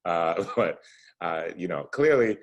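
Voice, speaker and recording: Man, forties, very low husky voice; relaxed motivational speaker. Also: slow. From United States. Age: 40s. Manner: conversational, lots of "you know" and "right?". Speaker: entrepreneur guest speaker. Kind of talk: casual talk to students.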